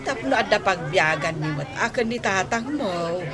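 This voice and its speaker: Woman playing a gossipy aunt amid loud crowd. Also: mid-range voice, animated, normal speed, natural voice. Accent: Ilocano. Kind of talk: Ilocano radio drama acting. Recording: busy outdoor market crowd noise.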